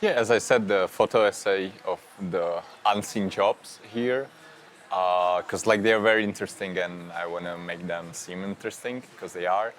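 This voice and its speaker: Hesitant young man, low voice. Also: moderate pace, a bit bright, slight background noise. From Slovakia. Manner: hesitant, many fillers. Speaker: young journalist. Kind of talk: casual interview answers.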